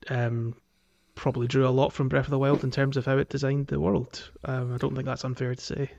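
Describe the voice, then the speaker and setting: A man co-hosting a podcast, discussing games casually and haltingly as he thinks aloud. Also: medium pitch, flat delivery, vocal fry, darkish tone, dull audio. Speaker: podcast co-host.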